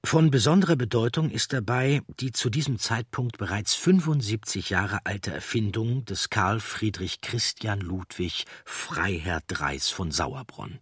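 Male documentary narrator, low and slightly rough voice, deliberate scripted delivery. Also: fairly bright tone, no echo, clean studio sound. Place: dry studio.

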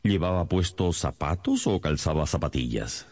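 A man acting in a radio drama as a confident, methodical gentleman detective with a measured, courteous, authoritative manner. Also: sits low, expressive, breathy edge. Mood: brisk, probing. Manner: measured, authoritative, polite questioning.

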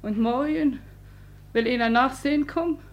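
Domineering woman, fairly high voice. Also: normal speed, muffled recording. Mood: uneasy. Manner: colloquial, repetitive, swinging from wheedling to threats. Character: domineering, anxious working-class married woman, coaxing yet menacing. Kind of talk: theatrical naturalist stage drama acting.

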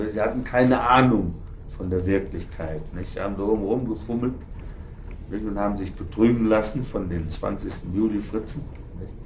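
A man playing an embittered Nazi veteran in a radio drama, defiant and scornful. The voice sits fairly low and insistent, in a strongly echoing room with audible noise. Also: lightly acted. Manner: scornful, insistent, tags phrases with questioning interjections. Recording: roomy echo, noisy ambience.

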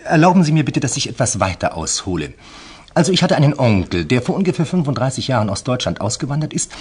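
Anxious merchant, lively and husky. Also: male voice, medium pitch. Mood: earnest, explaining. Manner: hesitant, halting, earnest, polite. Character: anxious, eager male merchant seeking a detective's help. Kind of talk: German radio drama acting.

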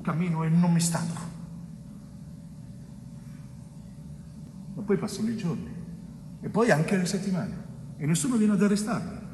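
An actor playing a wrongly convicted Sicilian bricklayer in a theatrical monologue, tormented and tender, pleading haltingly with repetitions and dramatic pauses. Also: male voice, sits high, expressive, dark tone. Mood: desperate, agitated. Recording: echoey hall, distant mic, faint noise.

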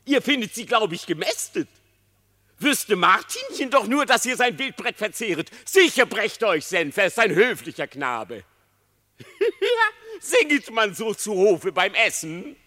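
An actor playing a sly, flattering, treacherous male fox in a verse radio play, with wheedling false courtesy. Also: high-pitched, unhurried, exaggerated voice. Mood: gleeful mockery. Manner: wheedling, theatrical, feigned courtesy.

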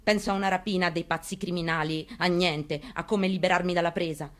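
A woman's voice: lowish voice, fairly even intonation, quick pace, close to an everyday voice. A woman performing a dramatic monologue reading as a haunted, plainspoken mother recounting her story. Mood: tense, anguished. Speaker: actress in a staged reading.

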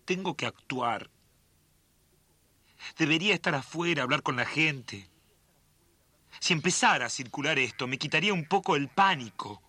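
Anxious, bright-voiced Argentine son. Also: male voice, on the high side, expressive, normal speed, pauses often, dry recording. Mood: anxious brooding. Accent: Argentine, Rioplatense. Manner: brooding, earnest. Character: anxious, self-doubting son of a widow. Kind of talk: radio drama monologue and dialogue.

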